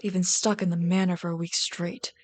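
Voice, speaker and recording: A woman's voice: fairly low pitch, flat delivery, fairly quick, darkish tone, lightly acted. A woman playing a mocking yet caring villain in an ASMR roleplay, with a dry, taunting, theatrical delivery. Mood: brisk, commanding. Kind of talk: ASMR audio roleplay drama.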